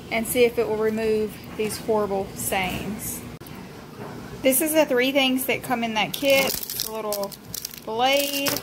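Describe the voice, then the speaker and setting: A woman's voice: on the high side, background noise. A woman, casually reviewing a cleaning product in her home kitchen, talking chattily with frequent ums into a phone held at arm's length. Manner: chatty and friendly, many ums. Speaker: home vlogger.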